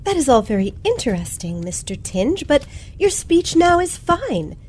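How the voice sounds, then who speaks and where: A woman playing a polite, inquisitive teenage girl detective with formal, measured speech in an old-fashioned radio play. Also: sits high, very animated, darkish tone. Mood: politely reassuring.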